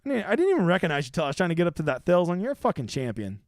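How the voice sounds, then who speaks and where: Man, thirties, livestream host, swears a lot, brash and rambling, fairly high voice, dry close sound. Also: wildly swooping pitch, rapid-fire, darkish tone, no room sound. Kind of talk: casual livestream chat answering viewer questions.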